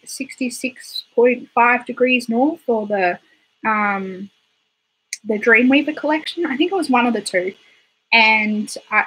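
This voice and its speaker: This is a woman talking into a desktop mic on a casual beauty livestream. Her voice is husky and hoarse from a recent illness, and her pitch sits in an ordinary middle range. She rambles, trails off and giggles at her own asides. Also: a bit bright, poor audio. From Australia. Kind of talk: casual livestream chat reading viewer comments. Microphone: desktop microphone.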